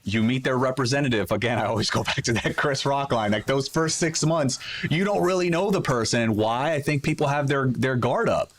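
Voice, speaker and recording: A man's voice: mid-range voice, brisk, few pauses. A man co-hosting a podcast in casual chat, talking in an informal, buddy-like way with plenty of slang. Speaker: podcast co-host.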